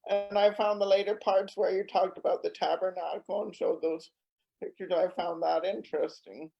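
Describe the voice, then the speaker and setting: Higher-voiced man, dull video-call audio. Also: fairly even intonation, creaky, faint music behind. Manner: halting, earnest, repeats words. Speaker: online group participant. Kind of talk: casual discussion answer.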